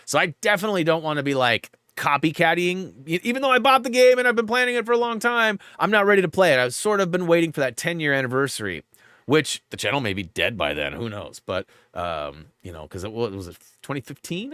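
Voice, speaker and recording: A man's voice: a little high, sing-song, quick pace, pushed and bright, clear, resonant tone, no echo, clean studio sound. Rambling man hosting a livestream. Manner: rambling, filler-heavy, lots of "like". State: stuffed up. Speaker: livestream host. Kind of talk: casual livestream chat.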